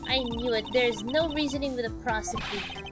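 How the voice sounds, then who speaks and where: Woman voicing a cheeky, high, cheery girl thief. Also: brisk, music in the background, close to an everyday voice. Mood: bouncy, cheery. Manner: boastful, bouncy. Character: cheeky, cheery young woman, self-styled legendary great thief.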